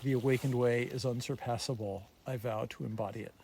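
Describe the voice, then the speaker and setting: A man's voice: medium pitch, fairly even intonation, a little fast, vocal fry. Man reciting over noisy background. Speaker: friend reciting Buddhist vows.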